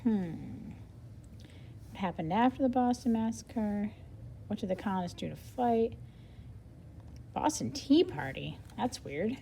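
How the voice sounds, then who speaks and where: A woman reading a nonfiction book aloud as a lesson for children, expressively, pausing to explain things simply and think out loud. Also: medium pitch, lively intonation, creaky, slight background noise.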